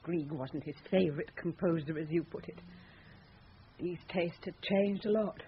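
A woman's voice: lowish voice, moderate pace, slight background noise, close to an everyday voice. A woman acting in a radio drama as a scornful, sarcastic woman with a mocking, clipped way of questioning. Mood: cutting, corrective. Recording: dull, muffled radio drama recording.

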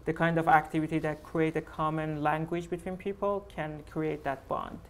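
A man whose first language is Farsi speaks earnestly on a theatre panel, pausing to find his words. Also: on the high side, flat delivery, slight background noise. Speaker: panel guest, arts curator. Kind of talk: panel talk on stage. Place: small theatre stage with audience.

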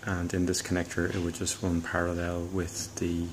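A middle-aged man giving a casual, halting DIY demonstration as a hobbyist, thinking aloud as he goes. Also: low-pitched, monotone, slight background noise. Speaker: hobbyist presenter.

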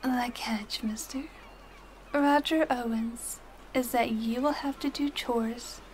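A woman plays a poised young assistant with a slightly high voice who whispers softly in a formal, teasing way. Her speech stays prim and courteous. A clear ambience hiss runs under her voice. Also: fairly even intonation, slow. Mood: polite whisper. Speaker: personal assistant to the listener. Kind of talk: ASMR audio roleplay.

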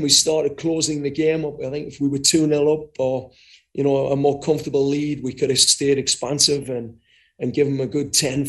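A middle-aged man answering as head coach in a post-match press conference, talking frankly and often repeating words while he thinks, over a video call. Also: medium pitch. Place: indoors before a sponsor backdrop.

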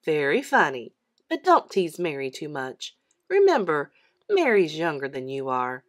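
A patient mother in a children's storybook, voiced by a woman reader. She is gently firm and coaxing, stressing her words with very varied intonation. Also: low-pitched, wildly swooping pitch, a little slow, pitched below the performer's own voice, exaggerated voice. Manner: emphatic, coaxing.

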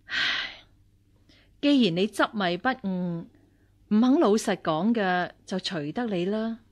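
An actress in a radio drama playing a cold, vengeful nun and martial-arts master, measured and aloof. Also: female voice, mid-range voice, lively intonation. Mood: cold, mocking. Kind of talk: Cantonese radio drama acting.

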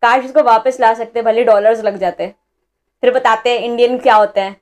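Chatty high-voiced young woman vlogger. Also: fairly even intonation, fairly quick, darkish tone, slight background noise. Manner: chatty, mocking, exclaiming. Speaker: reaction vlogger. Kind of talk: casual reaction commentary.

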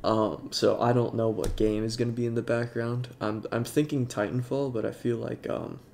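A young man with a congested voice, talking in a halting, soft-spoken way with many fillers over game footage. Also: medium pitch, steady tone, gravelly, a little hiss or hum. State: congested, sniffling. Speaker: YouTuber. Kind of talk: casual talk over gameplay.

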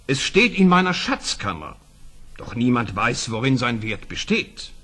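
A stately sultan in a fairy-tale radio play. The voice is mid-pitched, forceful and husky. He speaks formally, with reserved authority. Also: big pitch swings, unhurried, exaggerated voice. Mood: grave, pondering. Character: dignified, puzzled male sultan, commanding and reserved. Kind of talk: German audio fairy-tale drama acting.

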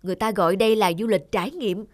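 A woman voicing a patient cartoon father who gently explains and teaches things to his young son. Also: mid-range voice, fast talker, no room sound, clean studio sound, close to an everyday voice. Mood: instructive. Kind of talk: cartoon voice acting.

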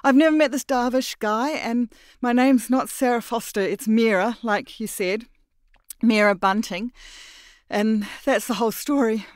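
A narrator voicing a nervous woman who bluffs quickly in a high, breathless voice after being caught trespassing. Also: sing-song, no echo, clean studio sound.

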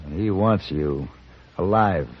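An actor playing a cool, terse gentleman gunfighter with an American accent in a 1950s radio western. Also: deep voice, slow, breathy edge, exaggerated voice. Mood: coolly determined. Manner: terse, dry, self-assured. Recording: dull 1950s radio recording.

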